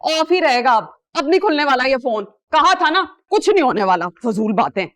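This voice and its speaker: An actress playing a sarcastic, sceptical middle-aged aunt in a family TV drama, with a Pakistani accent. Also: female voice, high-pitched, big pitch swings, moderate pace, faint music behind. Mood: sarcastic, dismissive. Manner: sarcastic, scolding.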